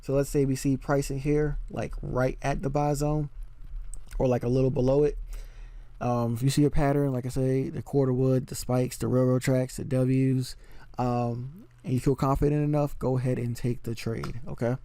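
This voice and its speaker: Male trading tutor, mellow low-key delivery, even and flat, lots of ums, slightly worn voice, dry close mic. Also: moderate pace, no room sound. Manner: relaxed, filler-heavy explaining with frequent "um" and "okay?". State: slightly losing his voice. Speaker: forex trading educator. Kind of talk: casual screen-share chart walkthrough.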